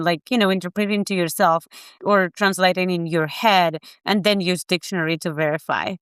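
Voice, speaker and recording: A woman's voice: medium pitch, a bit bright, smooth, no room sound, studio quality. Curious woman co-host asking questions. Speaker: podcast co-host. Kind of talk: casual interview questions.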